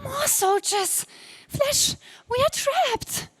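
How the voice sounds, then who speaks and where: A woman's voice: extremely high pitch, expressive, very slow, a bit bright, no echo, heavily acted. A woman playing an adoring, easily frightened damsel in distress, gushing melodramatically in 1930s radio serial style.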